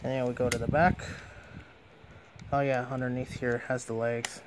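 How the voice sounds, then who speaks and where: A man's voice: medium pitch, flat delivery, moderate pace, noisy background. A man giving a casual hands-on product demonstration as a reviewer.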